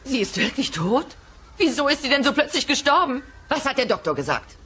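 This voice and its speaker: A woman's voice: high-pitched, big pitch swings, fairly quick, bright, forceful tone, slight background noise, exaggerated voice. A woman playing an exasperated dinosaur wife and mother in a comedy audio play, scolding and questioning. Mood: reproachful.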